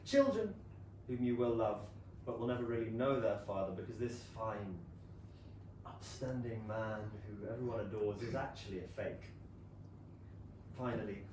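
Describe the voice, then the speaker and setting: A man acting a proud, wounded period gentleman in a staged reading, speaking formally and eloquently of hidden love. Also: on the low side, close to an everyday voice. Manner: formal, measured, eloquent theatrical delivery. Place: small London theatre stage, filmed. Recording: echoey theatre, distant mic, noisy room tone.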